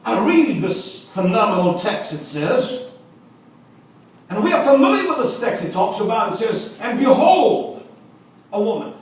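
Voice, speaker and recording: A man's voice: high voice, very animated, a little slow. A man preaching a revival sermon in English, his first language Afrikaans, with rhythmic repetition that builds to emphatic calls and joking asides to the congregation. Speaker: visiting preacher. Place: church sanctuary. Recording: echoey church, from a distance.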